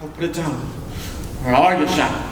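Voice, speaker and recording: A man's voice: on the high side, normal speed, noisy background, lightly acted. A man playing a desperate, panicky young gunman in a live radio drama, frantic and defiant. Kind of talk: live old-time radio drama acting. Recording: echoey hall from far off.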